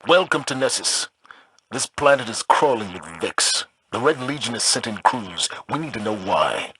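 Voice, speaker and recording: Stern commander, expressive pitch. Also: male voice, normal speed, slight background noise, low-quality recording. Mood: grave, commanding. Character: stern, solemn male military commander. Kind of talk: scripted video game voice acting.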